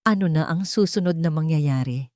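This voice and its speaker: Woman, storyteller, lowish pitch, dramatic scripted narration, very dry sound. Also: normal speed, a little mellow, no echo.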